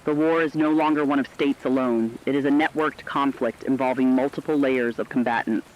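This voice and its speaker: A man's voice: medium pitch, steady tone, a little fast, close to an everyday voice, poor audio. A man voicing a serious news analyst in a radio crisis broadcast, explaining events in formal scripted speech.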